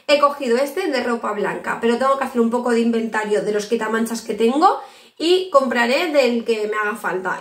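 Young woman chatting thru her shopping haul, high voice, kinda echoey kitchen. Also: a bit bright. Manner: chatty, shows items to camera, frequent restarts. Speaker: home vlogger. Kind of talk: casual haul video to camera. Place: kitchen at home, indoors.

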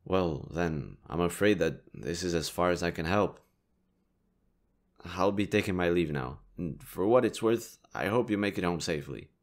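A man playing a kind, reassuring young stranger in an intimate audio roleplay, speaking gently, considerately and a little hesitantly. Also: low voice, lively intonation, moderate pace. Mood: earnest, apologetic. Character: kind, reassuring young male stranger helping a distressed girl.

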